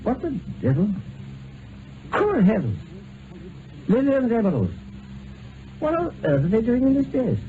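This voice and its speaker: An actor playing a jovial, self-assured upper-class husband and dinner host in a vintage radio drama, genteel and hearty. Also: male voice, very high voice, huge pitch swings, measured pace, pauses often, exaggerated voice. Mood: astonished. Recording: noisy tinny old radio broadcast recording.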